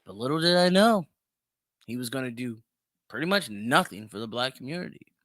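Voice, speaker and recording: Male podcast commentator, emphatic and opinionated, sharp bright tone, close dry sound. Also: mid-range voice, lively intonation, brisk, no room sound. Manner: emphatic, repeats phrases for stress. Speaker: podcast host.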